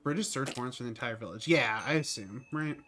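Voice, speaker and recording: A man's voice: medium pitch, fast, pushed and bright, slight background noise. A man giving casual, ironic live commentary as he streams a game. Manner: jokey, ironic.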